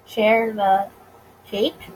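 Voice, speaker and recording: A woman's voice: medium pitch, flat delivery, extremely slow, darkish tone, slight background noise, rough recording. A woman voicing a flustered, eager male detective in a visual novel, speaking casually and bluntly.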